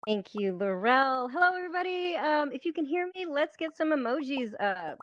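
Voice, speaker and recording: A woman's voice: high-pitched, big pitch swings, moderate pace, fairly bright tone, slight background noise. A woman leading a panel, introducing it informally in an eager, welcoming manner over a lo-fi online voice chat. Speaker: team leader hosting a panel.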